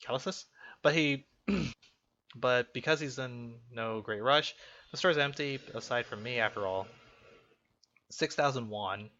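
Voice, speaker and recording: A man's voice: medium pitch, measured pace, pauses often, bright, forceful tone. A man doing casual, jokey let's play commentary, reacting aloud to a game and reading its text. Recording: muffled lo-fi mic, music behind.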